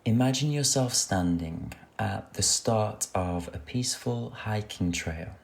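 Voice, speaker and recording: A man leading a guided meditation with gentle, soothing, unhurried instruction. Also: fairly low pitch, lively intonation, vocal fry. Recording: soft music under the voice. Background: music.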